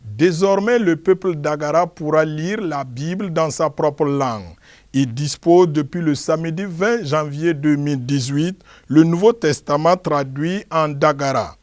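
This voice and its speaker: A man presenting and narrating a television news report in a measured, formal read. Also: a little high, no echo, clean studio sound.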